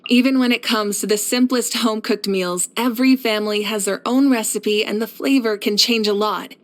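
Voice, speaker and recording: Video game heroine: actress as a warm, confident young female leader and warrior, higher-pitched, measured and sincere. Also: fairly even intonation, close to an everyday voice. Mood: friendly. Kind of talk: scripted video game character voice lines.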